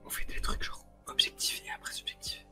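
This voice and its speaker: Hushed, whispering young co-host. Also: fairly quick. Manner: informal youth slang. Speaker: co-host of an anime review. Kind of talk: whispered ASMR anime review, casual chat. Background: music.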